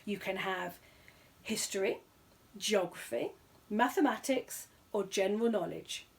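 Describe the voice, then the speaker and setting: A woman's voice: medium pitch, a little slow, gravelly. Storybook quiz compère, read by a woman: male, showy announcer delivery, strained patience, easily exasperated. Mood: strained, formal. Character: strained, cross male quiz show master of ceremonies.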